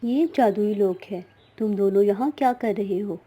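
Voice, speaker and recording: Casting: woman as generous female fairy for cartoon, mellow, gracious. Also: mid-range voice, normal speed, slightly rough, pitched below the performer's own voice, close to an everyday voice. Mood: questioning.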